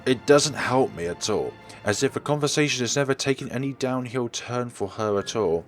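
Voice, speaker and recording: Low-voiced man narrating a visual novel. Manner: stumbles over read lines and corrects himself. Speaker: let's play host reading aloud. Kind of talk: read-aloud visual novel narration with brief asides.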